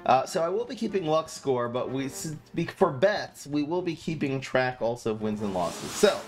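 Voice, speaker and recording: A man's voice: mid-range voice, animated, moderate pace. Streamer, man, 30s, loose self-mocking play-by-play, game music underneath. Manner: chatty, self-mocking, reads chat aloud. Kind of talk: casual gameplay commentary. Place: small room indoors.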